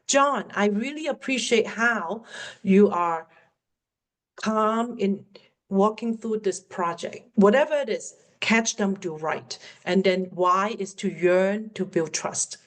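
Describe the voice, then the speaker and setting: A woman's voice: mid-range voice, slow. Cantonese-accented woman coaching a webinar. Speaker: workplace communication coach. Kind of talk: webinar Q&A teaching.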